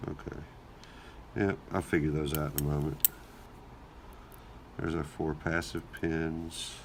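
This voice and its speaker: A man recovering from a cold, hosting his own channel and talking through his work in a relaxed, thinking-aloud way. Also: rumbling low, a little slow, background noise. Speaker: lockpicking channel host. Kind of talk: casual hands-on narration.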